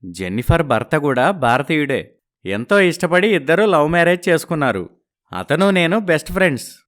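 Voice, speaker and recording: An actor playing a smooth-talking, evasive young husband in a story, placating and persuasive, dropping in English words. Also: male voice, a little high, big pitch swings, moderate pace, fairly bright tone. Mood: persuasive, explaining.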